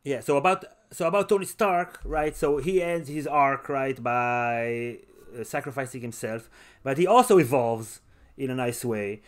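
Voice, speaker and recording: A man co-hosting a podcast, chatting casually and thinking aloud in a halting way. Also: medium pitch. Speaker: podcast co-host. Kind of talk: casual movie discussion podcast.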